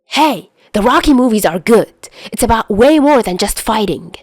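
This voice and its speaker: A woman's voice: a little high, animated, moderate pace, a little mellow, no room sound, studio quality, pitched above the performer's own voice. A woman voicing a stubborn, defensive teenage boy who grumbles curtly in an audio story. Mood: heated. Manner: grumbling, curt.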